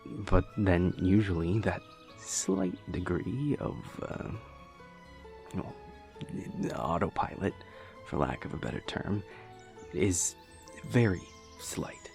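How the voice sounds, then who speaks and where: A man's voice: low-pitched, expressive, slow pace, gravelly, long pauses, music in the background, exaggerated voice. A young man playing a dorky, earnest boyfriend in an intimate audio roleplay, rambling hesitantly and nerdily with playful self-deprecation. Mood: thoughtful explaining. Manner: hesitant, rambling, nerdy explaining.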